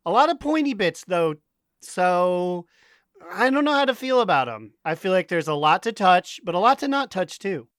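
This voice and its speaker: Smooth, higher-pitched man bantering casually. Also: big pitch swings, moderate pace, a bit bright, dry recording, studio quality. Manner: bawdy, joking. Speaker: podcast co-host.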